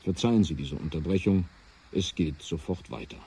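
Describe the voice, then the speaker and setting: A man presenting on radio as the programme's editor, giving a formal, calm, explanatory announcement. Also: sits low, moderate pace, slight background noise. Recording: dull, lo-fi radio broadcast.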